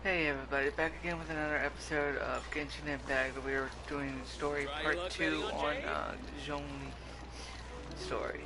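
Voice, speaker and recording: A man hosting a gaming channel in a casual, halting style, his voice under the weather. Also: on the high side, steady tone, creaky, rough recording. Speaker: streamer, gaming channel host. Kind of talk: casual gaming video intro. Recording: loud game music behind. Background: game music.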